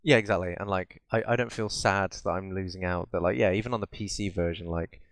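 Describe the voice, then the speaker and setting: A man's voice: sits low, steady tone, quick pace, clean tone. A British man co-hosting a podcast, chatting casually about games in a sweary, sardonic, rambling way. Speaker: podcast co-host. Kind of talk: casual bantering chat about video games.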